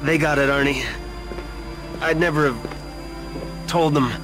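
A man playing a badly wounded, dying man in a video game, speaking in weak, halting broken phrases. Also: fairly high pitch, steady tone, over music, low-quality recording. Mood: pained. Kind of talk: video game cutscene acting.

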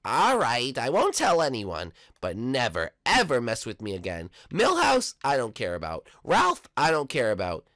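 A woman's voice: a bass voice, wildly swooping pitch, moderate pace, few pauses, fairly bright tone, dry recording, clean studio sound, heavily acted. A woman voicing a nervous, boastful young boy who stammers and hesitates, in a homemade cartoon play.

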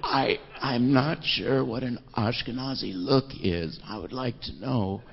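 Brief: gravelly-voiced male audience member, hesitant, echoey hall. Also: mid-range voice, measured pace. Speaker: audience member asking a question. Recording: dull echoey hall recording.

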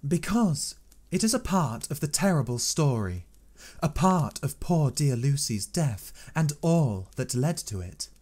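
Man voicing young victorian woman, earnest, grave, resolute, pleading, raised pitch, lively melody. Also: a little slow.

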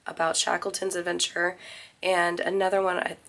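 Hoarse woman vlogging from a ship cabin. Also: completely flat delivery, moderate pace, a bit bright. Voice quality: low, gravelly. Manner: rambling, self-correcting. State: hoarse, recovering from lost voice. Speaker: travel vlogger. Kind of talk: casual vlog chat to camera. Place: small cruise ship cabin, indoors. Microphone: phone at arm's length.

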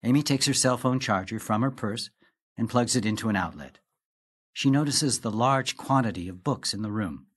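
Guy narrating a play script, reads out stage directions, average pitch. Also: moderate pace, slightly rough.

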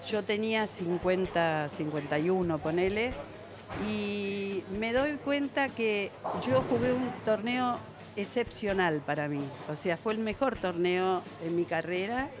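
An older Argentine woman in her seventies gives conversational, explanatory interview answers. She has a mid-pitched voice and speaks into a handheld mic, with music clearly audible behind her. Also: a little slow. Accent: Argentine Spanish. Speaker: veteran bowler being interviewed. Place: indoor bowling alley. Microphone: handheld interviewer's microphone.

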